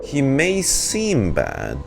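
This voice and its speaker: A man giving casual, halting commentary as a streamer reacting to a game's story, thinking aloud. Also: mid-range voice, huge pitch swings, vocal fry, background noise.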